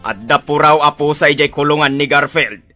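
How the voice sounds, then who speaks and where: An actor in a radio drama playing an excitable policeman husband with an Ilocano accent, given to exclamations and shouted calls. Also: a little high, a little fast, soft background music.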